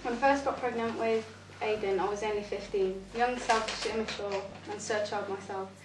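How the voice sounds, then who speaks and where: A woman's voice: medium pitch, fairly even intonation, normal speed. A nineteen-year-old woman, a young mother, giving an earnest, reflective personal testimony. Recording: roomy, distant, noisy.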